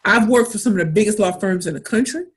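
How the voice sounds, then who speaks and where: A woman from St. Louis, Missouri, with her accent clearly audible, gives a motivational workshop talk on pitching. She drives each point home by repeating short, punchy phrases. Her voice sits at an ordinary middle pitch. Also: quick pace. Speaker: pitch coach.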